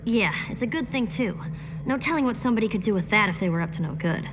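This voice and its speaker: A woman's voice: mid-range voice, expressive, fast, natural voice. An actress playing a smart, level-headed teenage girl heroine in a video game, matter-of-fact when explaining. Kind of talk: video game cutscene voice acting. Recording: music behind the dialogue.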